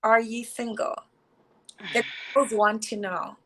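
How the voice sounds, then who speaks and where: A woman's voice: a little high, steady tone, slow, a bit bright. A Zimbabwean woman co-hosting a casual panel chat, chatty and full of fillers as she mixes in Shona. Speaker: co-host.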